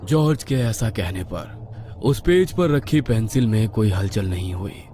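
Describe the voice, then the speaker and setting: A man's voice: fairly low pitch, animated, measured pace, warm tone, slight background noise. A man narrating a scripted horror story in a suspenseful, dramatic manner.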